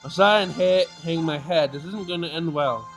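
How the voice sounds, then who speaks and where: High-voiced man doing an expressive let's play. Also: normal speed. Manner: expressive reading, stumbles and rereads. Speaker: gaming video host. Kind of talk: let's play, reading game text aloud dramatically with commentary. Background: game music.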